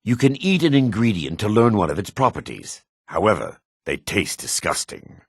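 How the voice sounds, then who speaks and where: A man voicing a gruff, dry-mannered dark elf warrior and townsman in a fantasy video game, with a gravelly, husky voice. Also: on the low side, very animated, normal speed, no echo, full character voice. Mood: instructive, firm. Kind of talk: video game character voice lines.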